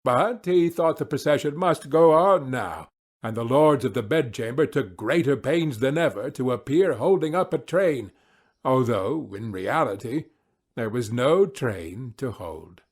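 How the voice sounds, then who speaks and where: A man narrating a storybook audiobook in a measured, theatrical way. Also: medium pitch, animated, breathy edge.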